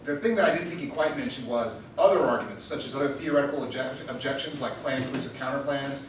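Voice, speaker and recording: Fast-talking young man lecturing in echoey room. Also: medium pitch, far from the mic. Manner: joking, rapid-fire, quizzes students. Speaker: debate theory lecturer. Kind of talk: informal classroom lecture. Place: classroom. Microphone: far room mic. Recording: echoey room recorded from afar with noise.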